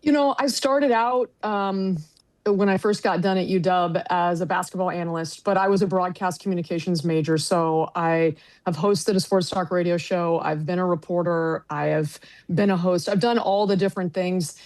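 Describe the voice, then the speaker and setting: A woman's voice: fairly low pitch, steady tone, normal speed, darkish tone. Middle-aged American woman in a casual interview. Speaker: sports broadcaster, radio play-by-play announcer.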